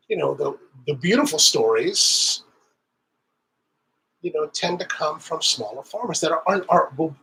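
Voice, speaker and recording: A man's voice: fairly high pitch, bright, forceful tone, a little hiss or hum, low-quality recording. Nasal, stuffed-up coffee vlogger man. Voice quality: slightly nasal. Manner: rambling, many restarts and fillers. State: stuffy nose from sniffles. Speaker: coffee channel host. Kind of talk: casual tasting talk to camera.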